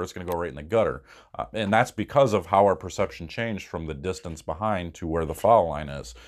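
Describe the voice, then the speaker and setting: A man in his thirties explaining bowling technique step by step to a camera in a small indoor room, casual and reassuring, with an occasional "uh". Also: low-pitched. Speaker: bowling coach. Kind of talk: casual instructional talk to camera.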